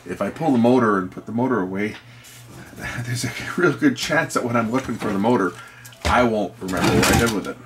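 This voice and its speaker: A middle-aged or older man giving casual, unscripted repair commentary, rambling and halting as he thinks aloud. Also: fairly low pitch, very noisy. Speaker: electronics repair video host.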